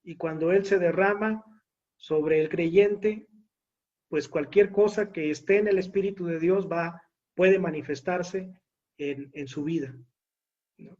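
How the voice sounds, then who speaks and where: A man's voice: high voice, slow, a little mellow, muffled recording. A man leading a church discussion who explains doctrine in an explanatory way, often checking in with "right?".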